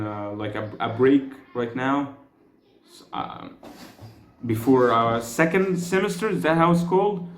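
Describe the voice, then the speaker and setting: Earnest Egyptian man, echoey room. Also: mid-range voice. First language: Egyptian Arabic. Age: 30s. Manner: hesitant, many restarts and fillers, earnest. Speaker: vlogger. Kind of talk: casual rambling monologue.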